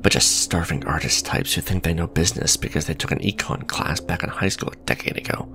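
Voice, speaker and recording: A man playing a smug, suave villain businessman in a romantic ASMR audio drama, sardonic and conversational, teasing, with a low gravelly voice. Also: brisk, bright, forceful tone, a little hiss or hum, full character voice. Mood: exasperated. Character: smug male villain, suave luxury fashion businessman on a date.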